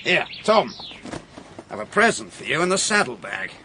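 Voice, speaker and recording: An actor playing a swaggering, callous English gentleman hunter in a period audio drama, sneering and domineering. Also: fairly high pitch, very animated, moderate pace, background noise. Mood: mocking, smug. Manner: sneering, domineering, scornful of women. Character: swaggering, callous country uncle, a hard-riding gentleman hunter.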